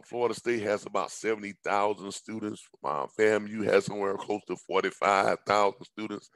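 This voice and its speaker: Hoarse middle-aged man, low dry voice. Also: no echo, low-quality recording. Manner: rambling, repeats words, frequent ums and coughs. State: hoarse, recovering from illness. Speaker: business presenter. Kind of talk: pitch presentation on a video call. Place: indoors at home, large room with staircase. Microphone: headset on a video call.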